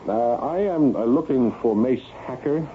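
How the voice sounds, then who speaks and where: An actor playing an anxious, desperate husband in a radio drama, with a dramatic, sometimes stammering delivery. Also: male voice, mid-range voice, expressive, moderate pace, a little hiss or hum, close to an everyday voice. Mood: anxious, pleading. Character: desperate, anxious husband recounting his own murder mystery. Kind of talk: 1970s radio drama acting with first-person narration. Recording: phone-like, band-limited old radio recording.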